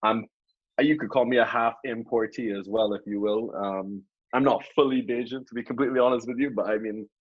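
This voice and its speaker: A man's voice: medium pitch, steady tone, fairly quick, husky, no room sound. A young man, a podcast guest talking earnestly over a lo-fi call, often repeating words as he thinks. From Caribbean. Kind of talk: casual podcast discussion.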